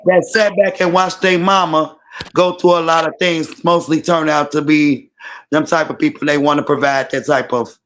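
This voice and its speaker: A hoarse woman, giving frank, emphatic opinions in a casual group debate. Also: sits low, fairly even intonation, normal speed, slight background noise. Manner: frank, emphatic, says "period". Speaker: panelist.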